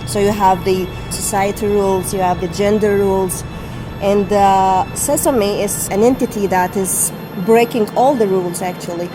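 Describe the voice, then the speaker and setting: A woman's voice: mid-range voice, steady tone, moderate pace, poor audio. A woman scientist explaining her facility's research plainly in a documentary interview. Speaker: synchrotron scientist. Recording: music bed under the voice.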